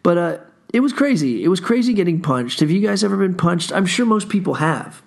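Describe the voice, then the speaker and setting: A stuffed-up man rambling through a loose, profane solo monologue, tossing in fillers as he wanders. His voice is gravelly and husky, with fry at the ends of phrases. He sounds congested, like he's fighting a cold. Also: high-pitched, animated, fairly quick. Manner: casual, profane, wandering storytelling with frequent fillers. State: stuffy nose, under the weather. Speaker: podcast host.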